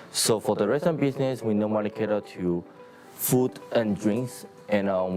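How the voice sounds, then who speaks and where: A man in his forties from Taiwan, a hotel and restaurant owner, speaking in a measured interview outdoors on a lapel mic. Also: fairly low pitch, dark tone. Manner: plain, measured business talk with pauses.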